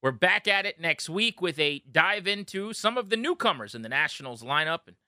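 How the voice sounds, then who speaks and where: Sports podcast host guy, super bright clear voice, chatty interviewer, dry close mic. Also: fairly high pitch, lively intonation, fairly quick, dry recording. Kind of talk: conversational interview hosting.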